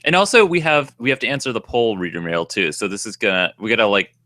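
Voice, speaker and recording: Male co-host, slight Boston accent, bright low voice, loose rambling delivery. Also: fast talker, dry recording, studio quality. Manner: rambling, laughing, trailing off mid-sentence. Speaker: podcast co-host. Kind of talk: casual chat, reading listener mail.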